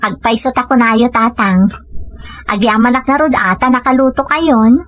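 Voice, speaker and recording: A woman's voice: fairly high pitch, moderate pace, a bit bright, no echo, lightly acted. A Filipino woman acting a hardworking village family woman in an Ilocano audio comedy drama. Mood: earnest, concerned.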